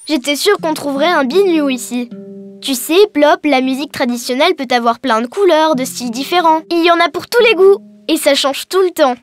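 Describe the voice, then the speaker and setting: Cartoon schoolgirl, played by a woman: high, cheerful, encouraging explainer, scripted lines over soft music. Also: a little fast, close to an everyday voice. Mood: explanatory. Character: cheerful young Breton girl teaching her alien friend. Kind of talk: scripted educational cartoon dialogue. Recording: music behind the voice.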